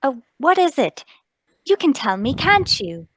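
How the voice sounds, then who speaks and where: A woman's voice: sits high, sing-song, a little slow, a bit bright, dry recording, heavily acted, low-quality recording. A woman playing a kindly, inquisitive young daughter of a landlady, coaxing and fussing sweetly in a radio-play table read. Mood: eager, curious.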